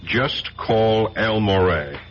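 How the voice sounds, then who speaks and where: An actor playing a determined, suave investigator in a radio drama, speaking in a direct, insistent, conversational manner. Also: male voice, on the low side, slow. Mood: businesslike. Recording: dull lo-fi radio recording with hiss.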